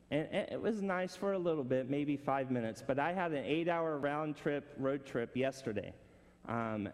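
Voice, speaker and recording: A man's voice: on the high side, big-room sound. A man preaching a conversational sermon to a congregation, asking them questions. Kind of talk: casual sermon.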